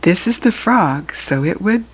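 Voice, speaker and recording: A woman's voice: fairly low pitch, lively intonation, a little slow, slightly rough. An American woman reading a picture book aloud to young children, with simple, instructive asides. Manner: acts out animal sounds while reading.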